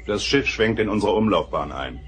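A man's voice: fairly low pitch, lively intonation, moderate pace, soft background music, lightly acted. A man playing a dutiful, alert starship security officer in an audio drama, reporting formally and tersely. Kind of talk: German science fiction audio drama acting.

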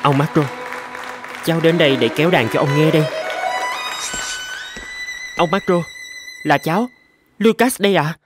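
Man playing high-voiced, earnest young man. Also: moderate pace, pauses often, music in the background, a crowd in the background, close to an everyday voice. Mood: grateful. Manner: polite, earnest, humble. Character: humble, earnest young male violinist. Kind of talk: animated cartoon dialogue.

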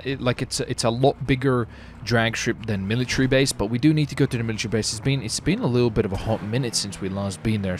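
A man's voice: lowish voice, slight background noise. A man giving casual, slangy gameplay commentary as a gaming video host, hyping things up with exclamations.

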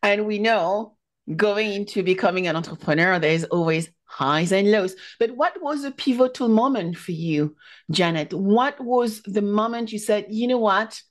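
Podcast host, woman, mid-pitched, upbeat, scripted intro then interview questions. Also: normal speed. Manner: enthusiastic, reads a scripted intro.

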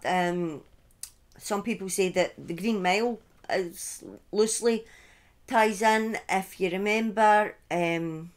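A middle-aged woman telling a true-crime story informally on a livestream, speaking deliberately with pauses and emphatic repetition, close to a desk microphone. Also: mid-range voice. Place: small indoor room at home. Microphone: close desk microphone on a boom arm.

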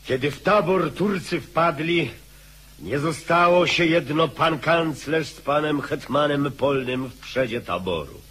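An actor playing a loyal soldier earnestly recounting a last stand in a radio drama. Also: male voice, on the high side, expressive, unhurried, a bit bright, breathy edge, exaggerated voice. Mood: solemn.

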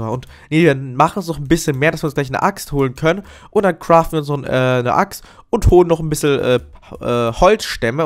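A man with a cold, giving chatty, upbeat commentary while playing a video game and often addressing his viewers as friends. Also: medium pitch. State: has a cold. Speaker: gaming YouTuber. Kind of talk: casual Let's Play commentary.

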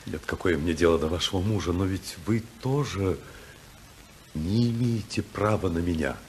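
A man's voice: low-pitched, normal speed, a little hiss or hum, natural voice. An actor playing an idealistic congressman torn by love, earnest and oratorical in a 1950s radio drama style. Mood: regretful, tender. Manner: earnest, oratorical, swinging from hushed to impassioned.

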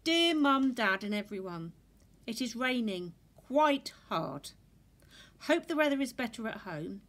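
An eager young boy meerkat voiced by a woman shares letters home in a storybook. The voice is raised and emphatic, with an earnest, unhurried delivery. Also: fairly high pitch, sing-song, fairly bright tone.

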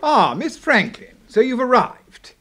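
An English actor playing a pompous, courtly old military boss who flatters smoothly, in a radio drama. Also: male voice, very high voice, measured pace, slight background noise, full character voice. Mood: jovial. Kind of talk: 1970s radio drama acting.

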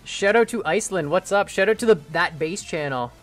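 A man's voice: high voice, a little fast. Young guy streaming a building game, rambly chat with viewers, desk mic, faint music under it.

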